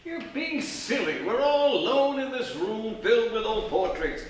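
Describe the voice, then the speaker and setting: A man's voice: high-pitched, very animated, moderate pace. A man playing a dismissive, naive young boyfriend in a comic horror radio play. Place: theatre stage before a live audience. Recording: echoey theatre, distant mic, faint noise.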